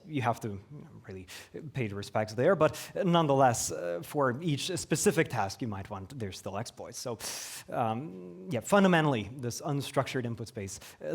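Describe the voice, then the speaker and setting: Man answering questions after a tech talk. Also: medium pitch. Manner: hesitant, many fillers. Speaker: conference speaker.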